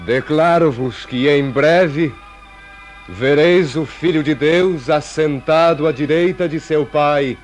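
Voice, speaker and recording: An actor playing a serene holy man, solemn, measured and prophetic, in a religious radio drama. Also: on the high side, soft background music. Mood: solemn, assured.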